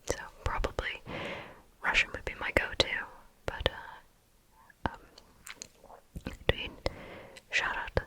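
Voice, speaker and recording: Bright, crisp whispering woman. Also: takes it slowly, pauses often. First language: English. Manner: frank, chatty whisper with many ums. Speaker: ASMR creator answering viewer questions. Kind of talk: whispered ASMR Q&A.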